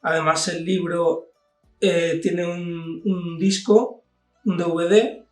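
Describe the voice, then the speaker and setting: A man's voice: a little high, little pitch movement, slow pace. A man with an accent from Spain, giving an informal book review as a channel host. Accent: Spanish from Spain. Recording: echoey room, distant mic, music behind.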